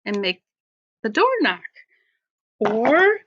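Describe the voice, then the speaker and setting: A woman schoolteacher talking casually and instructively to children, expressive and encouraging them to use their imagination. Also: on the high side, takes it slowly, dull audio. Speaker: elementary school teacher.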